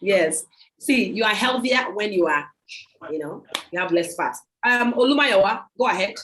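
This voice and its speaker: Woman host, video-call sales pitch, emphatic and repetitive, mid-pitched voice. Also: expressive, moderate pace, a bit bright, slight background noise. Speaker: host of a product sales meeting. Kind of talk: sales pitch with audience questions. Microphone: computer mic on a video call.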